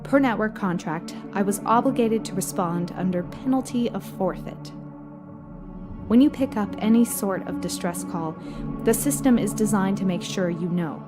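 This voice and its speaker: A woman playing a matter-of-fact space freighter captain, giving a measured official report in a scripted audio drama. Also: medium pitch, music in the background, close to an everyday voice. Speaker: narrator of a fiction podcast. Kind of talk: scripted first-person audio drama narration.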